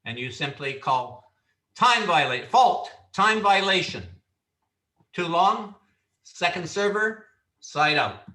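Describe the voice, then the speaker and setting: A man teaching referee trainees in a loud, confident, instructive way, with casual asides and demonstrated calls. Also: high-pitched, expressive, slow pace, roomy sound, far from the mic. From Canada. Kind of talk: training lecture.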